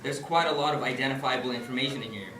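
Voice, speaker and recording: A man's voice: medium pitch, racing, close to an everyday voice. A man acting in a stage play as a snippy, officious adoption agency secretary with a condescending, clipped manner. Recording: echoey distant stage recording with music.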